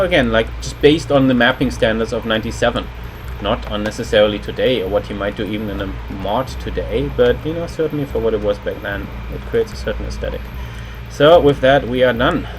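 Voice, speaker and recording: A man in his thirties from Germany talks casually with a German accent, hosting a game livestream. His voice sits at an ordinary male pitch, with faint steady noise behind it from the room where he streams at his computer. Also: low-quality recording. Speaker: level designer and livestream host.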